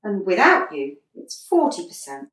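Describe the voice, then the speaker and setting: Radio comedy: exasperated but patient female football coach played by a woman, articulate, swooping pitch, echoey room. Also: big pitch swings, moderate pace, a bit bright. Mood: dry, reproving. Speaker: actor in a radio play. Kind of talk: radio comedy drama acting. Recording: roomy echo, distant from mic.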